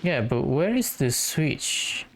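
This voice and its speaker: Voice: medium pitch, very animated, slow pace, gravelly, background noise. A gamer doing casual commentary on their own playthrough, tentatively muttering and thinking aloud. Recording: game music under the voice. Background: video game music.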